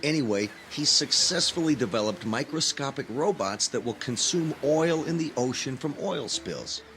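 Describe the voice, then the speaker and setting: A man's voice: medium pitch, animated, moderate pace, a bit bright, faint music behind. A man acting in an audio drama as an earnest, idealistic young graduate student with an intense, preachy manner. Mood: earnest, explaining.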